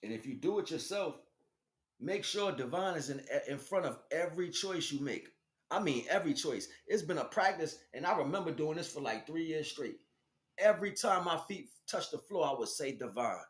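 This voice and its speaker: An American man with a fairly high, very animated voice gives an informal spiritual talk. His delivery is emphatic and slangy, circling back on phrases, and the room adds clear echo. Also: moderate pace. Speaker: spiritual teacher and stream host.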